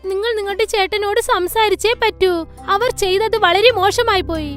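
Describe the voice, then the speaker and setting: A woman's voice: extremely high pitch, fairly even intonation, normal speed, fairly bright tone. A woman playing a worried, nagging wife of a poor farmer in a cartoon fairy tale, pleading and insistent. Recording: faint music under the voice.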